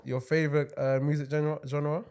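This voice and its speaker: Slightly high-voiced male podcast host. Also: flat delivery, a little mellow, clean tone. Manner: friendly, laughing, runs quick-fire questions. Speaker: podcast host and fitness coach. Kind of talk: casual interview chat.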